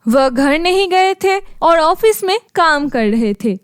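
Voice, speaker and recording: Female narrator for children's fairy tales, very high voice, slow delivery. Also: lively intonation, no echo, clean studio sound. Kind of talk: scripted fairy tale narration.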